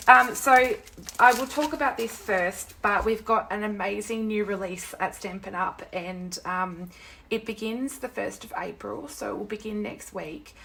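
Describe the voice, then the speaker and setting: Croaky woman hosting a craft live. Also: mid-range voice, fairly bright tone, a little hiss or hum. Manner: chatty and rambling, greets viewers by name, apologetic. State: croaky, sick with a sore throat. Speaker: craft demonstrator and live-stream host. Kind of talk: casual live craft tutorial chat. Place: at home at her craft desk.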